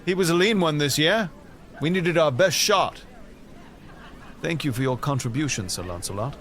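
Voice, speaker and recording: A man's voice: fairly high pitch, lively intonation, normal speed, fairly bright tone, a faint crowd, slight background noise. An actor playing a hearty, gracious medieval king in an audio drama, with a courtly, declamatory manner.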